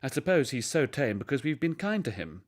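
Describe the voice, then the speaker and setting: A kindly English mother as a male narrator puts her on in a storybook. Her voice is lifted in pitch, gentle and a little anxious. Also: very animated, fairly quick, lightly acted. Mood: astonished.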